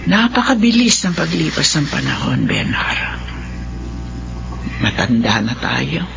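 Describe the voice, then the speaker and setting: A woman's voice: low voice, big pitch swings, a little slow, vocal fry, background noise, exaggerated voice. An actress playing a lonely, forgetful, tender mother of sixty in a Filipino radio drama, sighing and drawing out her words. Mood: wistful, melancholy. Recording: dull lo-fi sound under loud music.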